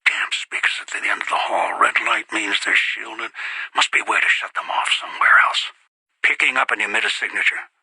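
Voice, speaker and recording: An older man voicing a menacing, controlling old taskmaster in a video game, raspy, with clipped, commanding, deliberate delivery. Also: fairly low pitch, steady tone, sharp tone, dry recording, heavily acted, rough recording. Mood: wary warning.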